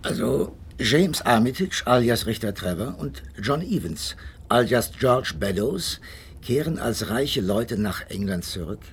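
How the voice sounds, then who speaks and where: A man's voice: medium pitch, animated, measured pace, a little hiss or hum. A man acting the earnest, inquisitive companion of a detective in a radio drama, pondering and questioning. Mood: piecing together.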